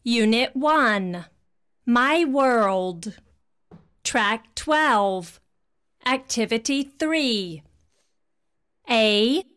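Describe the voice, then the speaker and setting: A woman announcing unit, track and letter cues slowly on a course audio track, played back during a lesson. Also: on the high side, expressive, fairly bright tone, smooth.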